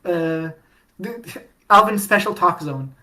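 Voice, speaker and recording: A woman's voice: lowish voice, lively intonation, slow pace, pushed and bright. A woman co-hosting a podcast, chatting casually and eagerly, rambling with many restarts and fillers. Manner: enthusiastic and pleading. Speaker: podcast co-host. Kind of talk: casual fandom chat.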